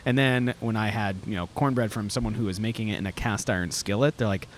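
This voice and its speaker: A man's voice: lowish voice, fast. A man from Florida hosting a casual chat, rambling and peppering his talk with "like" and "you know". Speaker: interviewer, host. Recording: clear background noise.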